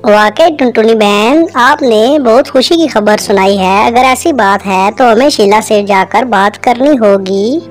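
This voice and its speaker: A woman's voice: on the high side, unhurried, hardly any pauses, close to an everyday voice. A woman voicing an eager, friendly male forest animal in a cartoon moral story, enthusiastic in manner. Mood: delighted. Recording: music under the voice.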